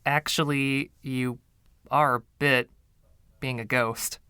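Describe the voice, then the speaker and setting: A man's voice: mid-range voice, fairly even intonation, slow pace, pushed and bright, clean tone, no echo, clean studio sound. A man voicing a mild, defensive music teacher in an audio story, plain-spoken and earnest.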